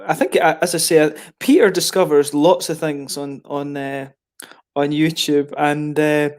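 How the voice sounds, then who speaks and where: A man hosting a casual chat on a stream, talking in halting starts and laughing often. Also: on the high side, steady tone. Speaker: stream host.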